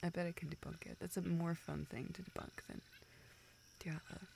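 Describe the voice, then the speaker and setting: A young woman playing a self-deprecating, vulnerable friend in an intimate audio roleplay, speaking in halting, self-interrupting dialogue. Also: on the low side, steady tone, vocal fry, a little mellow, a little hiss or hum. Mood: gentle, awkward. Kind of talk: intimate, naturalistic one-sided dialogue.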